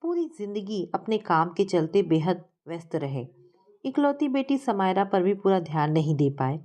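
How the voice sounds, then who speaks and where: A woman's voice: fairly low pitch, very animated, normal speed, dark tone. A woman narrating a scripted story as a storyteller.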